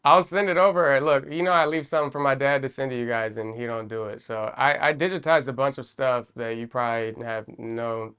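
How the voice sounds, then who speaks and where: A man's voice: medium pitch, steady tone, a little fast, few pauses, a bit bright, clear, resonant tone. A man in his twenties with an American accent, chatting casually as a podcast guest. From Virginia, United States. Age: 20s. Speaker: podcast guest, film editor. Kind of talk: casual chat.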